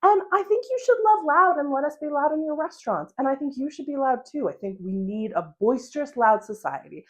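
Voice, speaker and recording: A young American woman talks through her solo podcast in a loose, chatty way. Her delivery is animated and full of fillers. She laughs easily as she goes. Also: high-pitched, a little fast. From United States. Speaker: podcast host. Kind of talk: solo casual podcast monologue.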